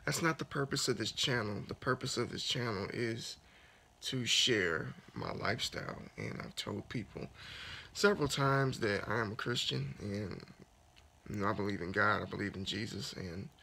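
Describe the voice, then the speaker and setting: Gravelly low-voiced man just woken up. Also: slight background noise. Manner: soft, unhurried, earnest with many restarts. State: raspy morning voice. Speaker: fitness vlogger. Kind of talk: casual morning talk and spoken prayer. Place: dark bedroom, lying in bed. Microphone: phone camera held close.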